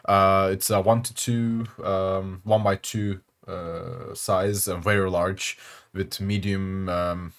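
A young Turkish man with a Turkish accent, speaking English as a second language, hosting casual gameplay commentary with many pauses and fillers. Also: deep voice, flat delivery, fairly bright tone. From Turkey. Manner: halting, many fillers and restarts. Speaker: channel host.

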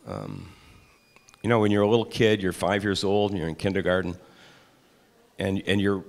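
An older man reading his own essay aloud to an audience in a large hall, deliberate and measured, with occasional hesitant asides. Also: low voice. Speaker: author giving a reading. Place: large hall with high ceiling, seated before a projection screen.

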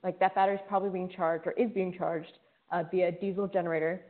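Woman presenter, lower register, earnest conversational delivery, phone-like call audio. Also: little pitch movement, fast. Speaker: firmware engineer presenter. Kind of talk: webinar presentation. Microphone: video call.

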